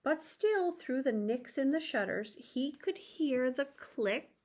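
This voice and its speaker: Slow, high-voiced woman storyteller. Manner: expressive, addresses young listeners. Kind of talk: children's story read-aloud.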